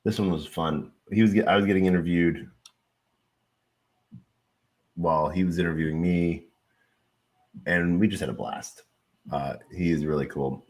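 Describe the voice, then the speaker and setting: A man's voice: sits low, measured pace, pauses often. A man hosting a casual livestream, talking loosely with fillers and the odd swear word. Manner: relaxed, swearing, plenty of fillers; hype announcer voice when introducing a guest. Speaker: livestream host and crypto content creator.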